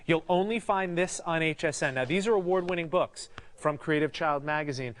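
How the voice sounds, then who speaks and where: A man co-hosting live shopping television, delivering a sales pitch. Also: fairly quick. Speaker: shopping channel co-host.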